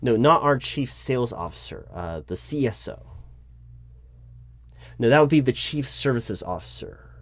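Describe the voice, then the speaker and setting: A man's voice: mid-range voice, lively intonation, moderate pace, pauses often. A man playing a flustered, awkwardly polite recruiting manager in a one-sided interview roleplay, speaking hesitantly and often repeating his words.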